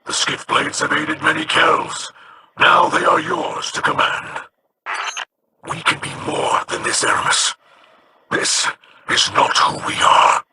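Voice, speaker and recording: An actor voicing a solemn alien pirate captain in a video game, speaking with measured gravity over noisy game audio. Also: male voice, a little high, level tone. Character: noble alien pirate captain, grave and earnest.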